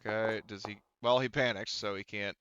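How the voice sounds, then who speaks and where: Bright, clear-voiced man running a tabletop game. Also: lowish voice, steady tone, a little fast, highs cut off. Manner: informal, thinks aloud while adjudicating rules. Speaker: game master. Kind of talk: casual tabletop roleplaying game play.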